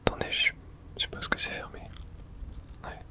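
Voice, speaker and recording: A man speaking in a breathy, unvoiced whisper, giving hushed ASMR commentary on a video game and thinking aloud in short remarks. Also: brisk.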